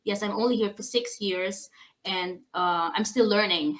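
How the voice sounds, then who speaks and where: Bright bubbly woman host. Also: mid-range voice, unhurried. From Philippines. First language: Hiligaynon. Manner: bubbly, effusive, laughs often. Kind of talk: casual video-call interview.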